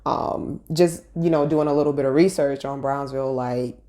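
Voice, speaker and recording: A woman's voice: a bass voice, steady tone, moderate pace, mellow. A young woman from Brooklyn, telling her story casually as an interview guest, laughing often. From Brooklyn, New York. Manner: laid-back, chatty, laughs often.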